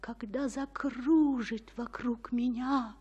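An actress playing a frightened, lovestruck young noblewoman in a theatrical radio tragedy, breathy and anguished, often sinking toward a whisper. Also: fairly high pitch, steady tone, slow, a little mellow, muffled recording. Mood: rising dread. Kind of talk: theatrical radio drama monologue.

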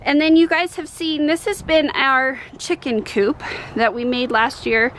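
Bright, high-voiced American woman vlogger. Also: steady tone, unhurried, a little hiss or hum. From Colorado, United States. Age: middle-aged. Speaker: homesteading vlogger and host. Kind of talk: casual vlog chat to camera. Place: outdoors in a yard, later in a parked or moving car. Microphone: camera at arm's length.

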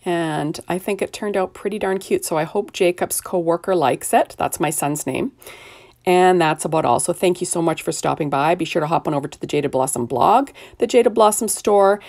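A middle-aged woman talks through a card-making tutorial at her craft desk, chatty and a little self-deprecating. Her voice sits low and gravelly, a bit rough as she gets over an illness, and she keeps talking while her hands work. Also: darkish tone. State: slightly rough voice after illness. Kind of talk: casual craft tutorial narration. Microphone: overhead camera on craft table.

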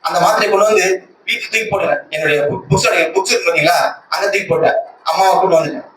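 A distant, echoing recording carries a man with a rather high voice and a Tamil Nadu accent, with clear noise behind him. He gives a personal religious testimony in an earnest, emphatic way, often repeating his phrases. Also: rapid-fire. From Tamil Nadu, India. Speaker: church member giving a testimony, lay speaker. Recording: echoey, distant conference call with loud noise.

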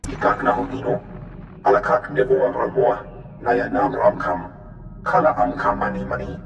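Super gravelly alien, low-ish, dull echoey sound, video game. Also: male voice, lively intonation, moderate pace, far from the mic, faint music behind. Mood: guttural. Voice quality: very gravelly, creaky. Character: gravelly alien speaking an invented tongue.

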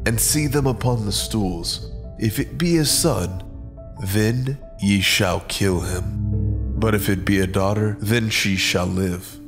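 A man playing a stern, ruthless ancient king in a dramatized scripture reading, speaking with deliberate command. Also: fairly low pitch, over music. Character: stern, ruthless king of Egypt addressing his subjects.

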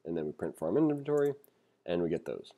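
Low, mellow man teaching code. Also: very animated, quick pace. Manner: thinking aloud, informal explanatory asides. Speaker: programming instructor. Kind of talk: casual read-along tutorial, reading aloud and commenting. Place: screen-recorded voice-over.

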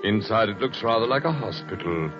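A man narrating a 1940s radio drama, slow, measured and atmospheric. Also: lowish voice, animated, natural voice. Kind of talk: scripted narration. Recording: dull old radio broadcast with music.

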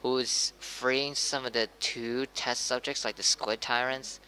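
A man acting as a local TV news anchor with a bright voice, speaking in a halting, informal way and stumbling over his words. There is faint noise on the recording. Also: medium pitch, fairly even intonation, poor audio. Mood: questioning, hesitant. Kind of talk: amateur acted news interview.

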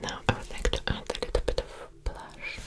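A young woman whispering breathily and gently close to the microphone, in a soothing ASMR personal-attention roleplay as a makeup artist. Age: young adult. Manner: slow, gentle, delicate, soothing. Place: small indoor room.

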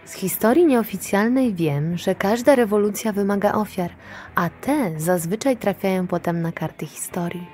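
An actress playing a worldly, resolute older woman manager in an audio drama, phrasing things deliberately and floridly. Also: mid-range voice, darkish tone, faint music behind, lightly acted. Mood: knowing.

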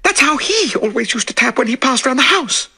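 Man voicing a shrieking widow, terrified, falsetto cries, bright piercing tone, wildly swooping pitch. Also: very animated, brisk, vocal fry, slightly rough, exaggerated voice. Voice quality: shrill crying falsetto. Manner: screaming, gasping. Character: hysterical terrified widow, middle-aged woman.

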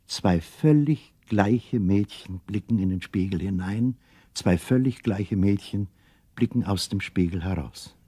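A man narrating a children's radio play as an expressive storyteller with scripted delivery. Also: sits low, unhurried, husky, no room sound. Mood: storytelling.

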